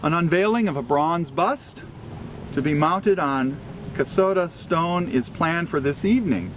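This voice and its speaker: A man with a slightly high voice gives a formal memorial reading in a measured, phrase-by-phrase way. He is recorded outdoors, with clear ambient noise and vehicles behind him. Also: expressive, a bit bright. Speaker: reader at a historical commemoration. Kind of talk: formal scripted tribute reading. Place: outdoors at a cemetery gathering.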